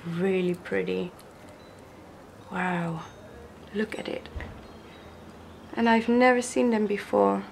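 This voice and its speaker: Young woman, slow and hoarse, gravelly, gushing over gifts; camera mic at a table, faint room noise. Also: mid-range voice. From Netherlands. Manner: gushing, slow, admiring, repeats praise. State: hoarse from illness. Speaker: crafter showing gifts. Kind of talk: casual unboxing vlog. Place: indoors at a wooden table.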